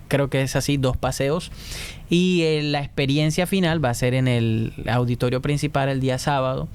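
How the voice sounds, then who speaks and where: A man's voice: medium pitch, darkish tone, slight background noise. Chatty man hosting a church show. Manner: friendly, chatty, frequent hesitations. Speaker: host. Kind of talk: casual church talk show. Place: church studio.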